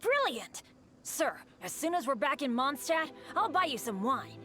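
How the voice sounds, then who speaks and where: A woman's voice: high voice, sing-song, unhurried, over music. A woman voicing a cheerful, eager teenage boy adventurer in a video game. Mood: elated.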